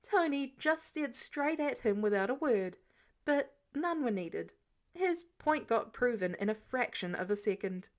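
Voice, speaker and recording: Higher-pitched woman narrating a story. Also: lively intonation, measured pace. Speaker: audiobook narrator. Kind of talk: expressive fan fiction narration.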